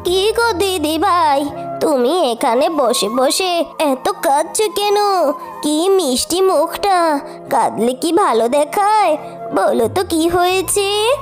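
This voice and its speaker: Woman voicing a kindly high-pitched old village granny. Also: lively intonation, slow, soft background music, full character voice. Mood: gentle concern. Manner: gentle, coaxing.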